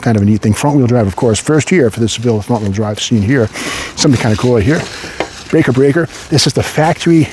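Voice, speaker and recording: A man's voice: medium pitch, a little mellow, slight background noise. A man hosting an unscripted walkaround car commentary in a rambling, repetitive way with wry asides. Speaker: car show host.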